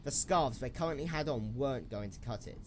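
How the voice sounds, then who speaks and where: A man's voice: medium pitch, animated, fairly quick, background noise. A man reading scripted story narration as the narrator of an audio drama.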